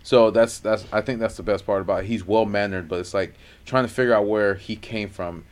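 A man co-hosting a podcast in casual chat, laid-back and quick to laugh. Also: on the low side, steady tone. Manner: laid-back, laughs often, acts out quoted lines. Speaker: podcast co-host.

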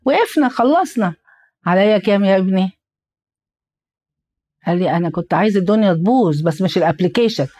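A young adult Egyptian woman co-hosting a casual podcast, talking in an animated way with a tired, strained voice. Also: medium pitch, quick pace, pauses often. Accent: Egyptian Arabic. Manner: animated storyteller, quotes people in lively asides. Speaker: podcast co-host.

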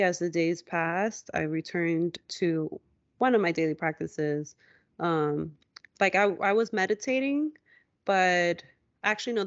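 A woman with a slightly low voice talks as a podcast co-host. Her delivery is informal and reflective, with halting personal storytelling. The recording is dry and a little muffled. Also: dry recording. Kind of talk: reflective casual conversation about personal experience.

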